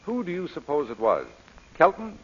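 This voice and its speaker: A lovestruck lord, high husky voice. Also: male voice, wildly swooping pitch, normal speed, heavily acted. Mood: playful. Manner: theatrical, urbane, flowery romantic speech. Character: lovestruck, persistent English lord, playful and self-mocking. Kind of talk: 1930s-40s radio drama acting. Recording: dull vintage radio broadcast.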